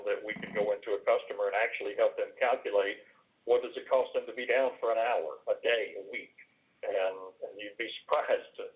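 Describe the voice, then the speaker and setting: Male presenter, low voice, halting conversational delivery, heard through a narrow phone line. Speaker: business presenter, managed IT services provider. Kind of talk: sales presentation on a conference call. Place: conference call. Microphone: telephone. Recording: tinny band-limited telephone line.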